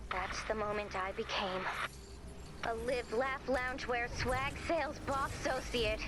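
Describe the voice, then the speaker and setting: A woman's voice: on the high side, unhurried, heavy background noise, lightly acted. A woman playing a wry, mischievous, self-dramatizing young woman, droll and theatrical, in comedic game dialogue. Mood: dramatic storytelling. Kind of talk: comedic animated game storytelling.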